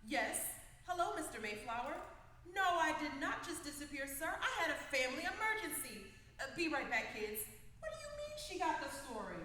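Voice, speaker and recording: Woman acting a bright, animated, breezy mom. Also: high voice, normal speed, exaggerated voice. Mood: flustered. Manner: breezy, folksy. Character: breezy, affectionate working mother, a TV news reporter. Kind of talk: lively radio comedy acting. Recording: echoey hall, faint noise.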